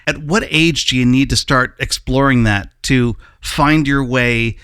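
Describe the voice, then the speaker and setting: A man's voice: medium pitch, steady tone, unhurried, a bit bright, clear, resonant tone, no room sound, studio quality. A man hosting a conversational interview and putting questions to his guests. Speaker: interviewer, host.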